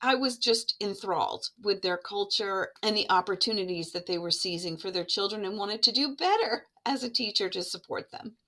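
A woman's voice: mid-range voice, moderate pace, few pauses, a bit bright. A woman guest on a podcast, an education program administrator, telling stories from her classroom years in an earnest, reflective way. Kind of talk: conversational podcast storytelling.

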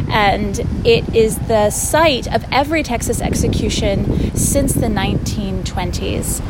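A woman reading aloud as a scripture reader, recorded outdoors by a road. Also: mid-range voice. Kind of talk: spoken introduction and scripture reading. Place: outdoors by a road, outside a prison. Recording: outdoor recording with loud traffic noise. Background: cars and outdoor urban ambience.

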